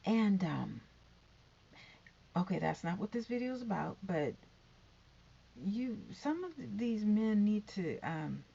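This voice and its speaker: A woman's voice: mid-range voice, sing-song, slow, vocal fry, long pauses, warm tone, husky, muffled recording. A woman in her fifties vlogging in a rambling, chatty way, with sighs and whispered asides. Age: 50s. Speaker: YouTube vlogger. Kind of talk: rambling casual vlog.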